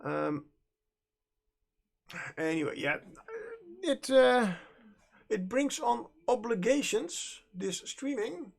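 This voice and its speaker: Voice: medium pitch, lively intonation, takes it slowly, creaky, long pauses. A streamer with a Dutch accent, a native Dutch speaker, chatting casually while painting, in a hesitant, rambling way with frequent self-corrections. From Netherlands. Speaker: livestream host and miniature painter.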